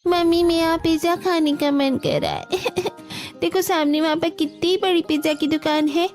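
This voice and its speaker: A woman puts on a very high little boy's voice for a cartoon. He is whiny, stubborn and insistent, with soft music behind. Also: unhurried. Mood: stubborn, demanding. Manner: insistent, pleading. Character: whiny, stubborn little boy demanding pizza. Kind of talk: Hindi cartoon dialogue.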